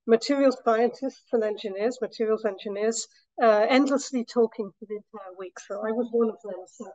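A woman professor lectures on her research, her voice a touch scratchy and pitched fairly high. She explains patiently with many small restarts. The sound is dry and slightly dull. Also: no echo. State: slightly scratchy voice after a week of talking. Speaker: materials science professor giving a talk. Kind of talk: academic lecture. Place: lecture room.

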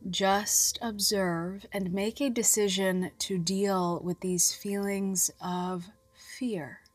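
A woman reading a scripted guided relaxation in a soft, soothing, measured voice with long pauses and breathy phrase endings. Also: mid-range voice, fairly even intonation, no room sound. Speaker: guided meditation narrator. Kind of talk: scripted hypnobirthing relaxation guidance. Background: music.

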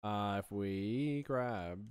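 A man's voice: on the low side, extremely slow, clean tone, dry recording. A man in his thirties giving a casual demonstration as a sound designer, thinking aloud at a close mic. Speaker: sound designer, tutorial host.

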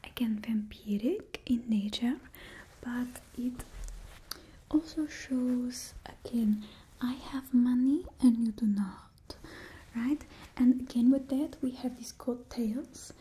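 A woman's voice: a little high, slow, warm tone, rough recording. A woman in her twenties whispering closely in a put-on Russian accent, as the host of an ASMR roleplay. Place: indoors in front of a studio backdrop. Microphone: close microphone.

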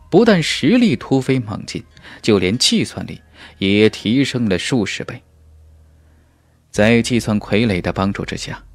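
A man's voice: on the low side, dry recording. A man narrating a fantasy novel as a scripted audiobook, with a dramatic storytelling delivery.

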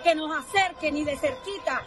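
High-voiced woman at a crowded protest. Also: moderate pace, over music. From Cuba. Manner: firm, denouncing. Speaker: protester being interviewed. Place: street protest outdoors. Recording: outdoor street clip with crowd.

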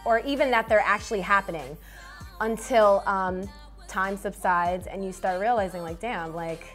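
A woman, a singer being interviewed, talking softly and hesitantly with many fillers. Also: mid-range voice, moderate pace, faint music behind. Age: 20s. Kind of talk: casual interview chat. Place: small studio with branded backdrop.

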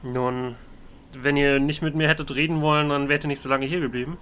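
A man role-playing his video game hero's dialogue as a let's player, with a hesitant, deliberate delivery. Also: a little high, steady tone, a bit bright, a little hiss or hum.

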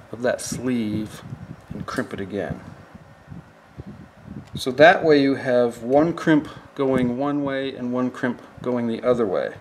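A man's voice: medium pitch, slight background noise, rough recording. A man giving a plain, step-by-step how-to demonstration at a work table. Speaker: fishing tackle instructor.

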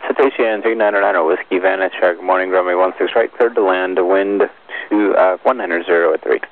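A man's voice: deep voice, steady tone, a little fast. A man working as an air traffic tower controller, giving clipped instructions over aviation radio. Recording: muffled narrow-band tower radio recording.

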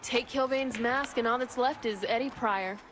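A shrewd, no-nonsense strategist acted by a woman in a video game. She is dry and direct, in a fairly high voice with faint noise behind. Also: normal speed, fairly bright tone, close to an everyday voice. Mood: cool, scheming. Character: cool, shrewd, no-nonsense female gang strategist.

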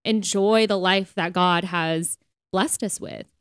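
A woman's voice: fairly low pitch, fairly even intonation, slow pace, a bit bright, smooth, dry recording, studio quality. A woman co-hosting a faith podcast, talking in a casual, earnest, conversational way peppered with "like". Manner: conversational, earnest, filler-heavy with frequent "like". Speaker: podcast co-host.

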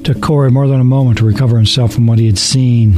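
Male narrator for dramatic horror audio story, deliberate and suspenseful, music bed behind. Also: mid-range voice, steady tone. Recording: narration over background music.

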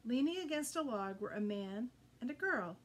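A woman in her fifties reading a children's story aloud with expressive, deliberate phrasing. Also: on the high side. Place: indoor room with green curtain backdrop.

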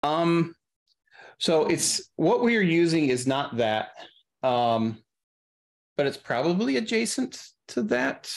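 A middle-aged man chatting casually as an interview guest on a video call, thinking aloud in a halting way. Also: on the high side, big pitch swings, gravelly. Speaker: guest, audio drama creator. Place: small room on a video call.

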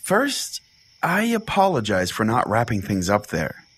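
Low-voiced, husky radio pastor acted by a man. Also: huge pitch swings, measured pace, fairly bright tone, heavily acted. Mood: earnest. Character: exasperated, earnest male pastor hosting a call-in show. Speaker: radio show host.

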